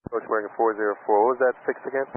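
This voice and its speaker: A man's voice: on the low side, steady tone, racing. A man speaking as an airline pilot in clipped readbacks over aviation radio. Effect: cockpit aviation radio. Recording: phone-like, noisy aircraft radio.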